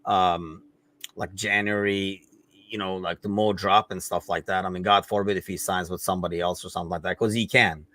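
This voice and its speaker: A man's voice: low voice, steady tone, a little fast, bright, forceful tone, dry recording. A man hosting a live football podcast, talking casually with lots of fillers and frequent laughs. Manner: rambling, many fillers, laughs often. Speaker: podcast host.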